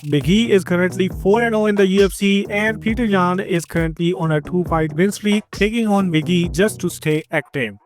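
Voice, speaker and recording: A man's voice: high-pitched, moderate pace, hardly any pauses. A man with an Indian accent hosting a combat sports news update in scripted narration. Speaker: host of an MMA news channel. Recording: background music under the voice. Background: music.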